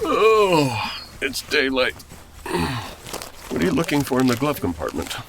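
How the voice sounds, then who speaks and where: A man's voice: mid-range voice, wildly swooping pitch, measured pace, very noisy. An actor playing an anxious, bewildered, earnest man from the 1940s in a comedy audio drama. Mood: tired, hesitant. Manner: fretful, earnest. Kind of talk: comedy audio drama acting, old-time radio flavour.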